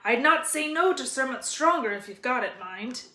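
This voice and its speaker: A woman voicing a gruff, hearty giant man with booming rustic speech in a storybook read-aloud. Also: lively intonation, normal speed, pushed and bright. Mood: hearty, friendly. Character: gruff, hearty giant man, friendly but quick-tempered. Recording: roomy echo.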